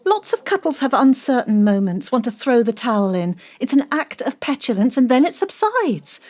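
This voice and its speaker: A woman's voice: fairly high pitch, big pitch swings, moderate pace, a little mellow. An actress playing a cool, controlling middle-aged woman in an audio drama, haughty, clipped and articulate. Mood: defensive, reasoning.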